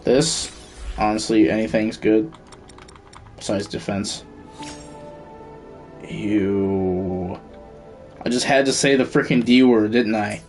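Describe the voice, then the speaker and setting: A man's voice: lowish voice, steady tone, slow, pauses often, darkish tone, soft background music. A man streaming a video game, giving casual commentary and muttering to himself as he thinks aloud. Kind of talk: casual live gameplay commentary.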